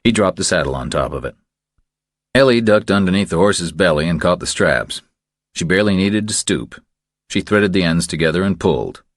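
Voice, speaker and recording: A man narrating a thriller audiobook in a very low voice. His delivery moves up and down with plenty of expression, and the sound is close and dry. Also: lively intonation, normal speed, no echo.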